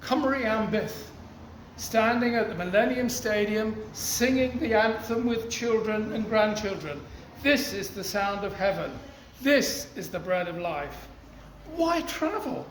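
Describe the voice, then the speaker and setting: A man in his sixties with a posh English accent, reading his poetry outdoors in an animated, storytelling way. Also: sits high, measured pace, echoey room, a little hiss or hum, rough recording. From East Africa. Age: 60s. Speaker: poet. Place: outdoors outside a cafe.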